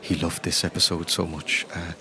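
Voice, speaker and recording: A man voicing a grieving, chatty old pub landlady of about ninety in a tabletop game, halting and rambling. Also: low voice, level tone, crowd noise, pitched below the performer's own voice. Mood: chatty, wistful.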